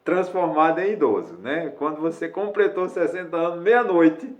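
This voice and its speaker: A man's voice: on the high side, animated, moderate pace, reverberant, lo-fi sound. A man in his sixties, a lawyer giving a casual video lesson, talking in a rambling way with many fillers and self-corrections. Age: 60s. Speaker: lawyer explaining elderly law.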